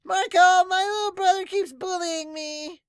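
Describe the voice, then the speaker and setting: A very high, strained villager put on by a man pipes up in an excitable, pleading way. Words are drawn out and exclaimed loudly, as in a fan manga dub, with a bright, dry sound. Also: a little slow, dry recording. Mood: excited, pleading. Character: excitable villagers, old and young, pleading and complaining.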